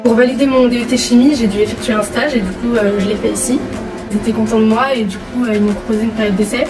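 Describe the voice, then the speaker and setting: A woman's voice: a little high, fairly even intonation, fast. A woman in her twenties from the Jura giving a casual, hesitant workplace testimony. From Jura, France. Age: 20s. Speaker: company employee giving testimony. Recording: distant mic, background music.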